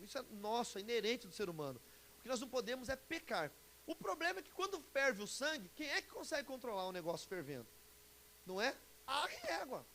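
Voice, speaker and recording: A Brazilian pastor in his forties speaks in a notably high male voice. He teaches a congregation informally, joking and checking in with questions. A faint hiss runs under the live recording. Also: a bit bright, rough recording. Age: 40s. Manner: chatty, joking, asks the audience questions. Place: church conference before an audience. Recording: live talk with background hiss.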